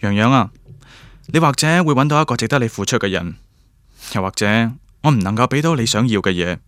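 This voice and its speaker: An actor playing a gentle, resigned young boyfriend in a radio drama, with a Guangdong Cantonese accent. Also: male voice, medium pitch, lively intonation, brisk, no echo, lightly acted. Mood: resigned, tender.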